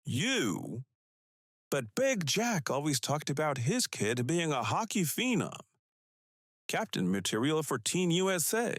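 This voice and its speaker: A man's voice: a little high, very animated, a little slow, a bit bright, heavily acted. An actor playing a blustering, condescending older man in an audiobook, with a folksy, patronizing manner. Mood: surprised. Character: blustering, condescending older man, bushy-browed family friend. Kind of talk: audiobook character acting.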